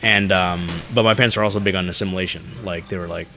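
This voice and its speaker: A man's voice: low voice, steady tone, fast, vocal fry, music in the background. A man from the United States co-hosting a podcast, chatting casually and asking curious questions with many fillers. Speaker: podcast co-host. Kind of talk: casual chat.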